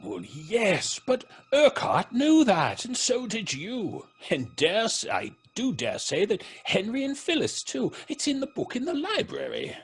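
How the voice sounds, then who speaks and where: A blustering, sceptical gentleman in a vintage radio drama. He is husky and rather high, stammering with dry pomposity and huge swings of pitch. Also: huge pitch swings, unhurried, hardly any pauses, heavily acted. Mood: dry, sarcastic. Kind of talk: old British radio horror drama acting.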